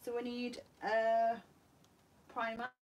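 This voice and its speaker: Geordie woman in her thirties, slightly raised pitch, relaxed livestream chatter via webcam at home. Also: slow, lo-fi sound, heavy background noise. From North East England. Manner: chatty, reads viewer comments aloud, laughs easily. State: a bit tired. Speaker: beauty vlogger. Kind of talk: casual livestream chat. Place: bedroom at home, indoors. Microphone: webcam at desk.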